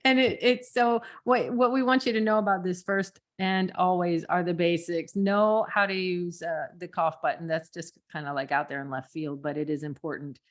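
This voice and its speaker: A woman's voice: fairly low pitch, steady tone, normal speed, few pauses. A woman co-hosting and coaching on a podcast in casual, giggly conversation, repeating words for emphasis. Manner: giggly, laughs often between phrases. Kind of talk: casual podcast teaching chat.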